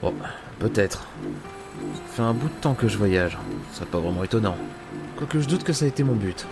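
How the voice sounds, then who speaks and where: A man's voice: lowish voice, expressive, fairly quick, gravelly. Man playing a world-weary, grumbling adventurer over music. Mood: calm, firm. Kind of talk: audio drama acting with inner monologue.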